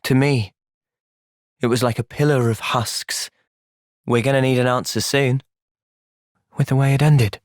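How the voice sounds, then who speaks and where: A man's voice: medium pitch, fairly even intonation, measured pace, long pauses, no echo, studio quality, close to an everyday voice. A young man with a British accent playing an earnest, thoughtful, polite and determined young hero in video game cutscenes.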